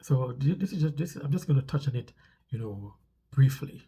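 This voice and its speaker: A man's voice: medium pitch, animated, normal speed, warm tone, slightly rough. A man with a stuffy nose talks casually to viewers as a vlog host, explaining things conversationally and repeating phrases for emphasis.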